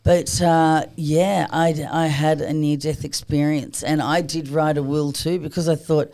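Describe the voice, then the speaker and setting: A woman with a husky voice chatting casually as a podcast participant. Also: sits low, flat delivery, measured pace, dark tone. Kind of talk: casual chat.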